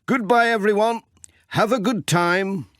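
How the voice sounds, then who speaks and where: A man's voice: sits high, measured pace, a bit bright, no echo, studio quality, pitched above the performer's own voice. A man voicing a kindly, cheerful village postman with a friendly, earnest way of talking in a children's storybook. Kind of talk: children's storybook character dialogue.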